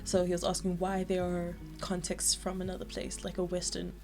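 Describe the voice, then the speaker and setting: A young woman from South Africa chatting casually about her art, with lots of filler words and lively asides. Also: fairly low pitch, moderate pace, vocal fry, over music. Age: young adult. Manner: chatty, animated, frequent "like" fillers. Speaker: art vlogger. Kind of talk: casual chat.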